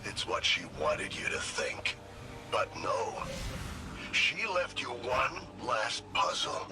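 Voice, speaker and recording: Game henchman creature: gloating, theatrical, unhurried, huge pitch swings, loud score underneath. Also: huge pitch swings. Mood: gloating, taunting. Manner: mocking, theatrical, swooping. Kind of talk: video game dramatic dialogue. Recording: loud game music behind.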